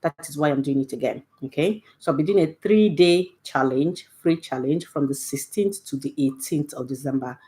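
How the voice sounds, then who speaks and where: A woman's voice: sits low, moderate pace, hardly any pauses, warm tone. A middle-aged woman with a Nigerian accent, giving a casual, patient online language lesson and checking in with "okay?" after each point. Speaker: Igbo language teacher.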